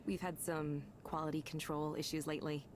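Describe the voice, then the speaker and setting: A woman acting as a workplace supervisor in a visual novel game, with a fairly low female voice. She is upbeat and chatty, giving brisk, reassuring corporate instructions. There is faint noise behind her. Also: flat delivery, natural voice. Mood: instructive.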